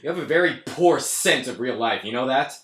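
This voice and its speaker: A man's voice: lowish voice, expressive, slow, fairly bright tone. An actor playing a weary, guilt-ridden married man who talks in a brooding, bitter way and breaks into anguished outbursts. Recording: echoey room.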